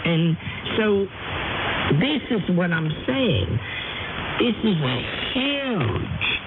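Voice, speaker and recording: A woman guest explaining things in a radio conversation, halting and repeating words for emphasis. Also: medium pitch, big pitch swings. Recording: very noisy, tinny phone-like radio line.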